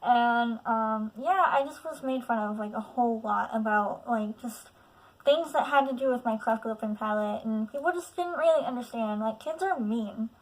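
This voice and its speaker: A woman in her late teens with a nasal voice and a lisp, speaking haltingly in a candid personal vlog. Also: on the high side, low-quality recording. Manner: halting, frequent "like" fillers, candid. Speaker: vlogger.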